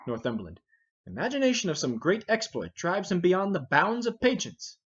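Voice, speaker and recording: A man's voice: high-pitched, sing-song, normal speed, a bit bright, husky, lo-fi sound. A man reading out a play script unrehearsed, as an amateur reader.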